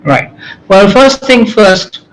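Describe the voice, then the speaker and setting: A middle-aged man with a Pakistani English accent speaks in a somewhat raised pitch, circling his thoughts with stops and repeated words. He leans on "you know" and "you see" as he goes. A faint hiss of ambient noise sits under the voice. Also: lively intonation, slow pace, poor audio. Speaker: poet and English professor, guest. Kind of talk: reflective interview answers.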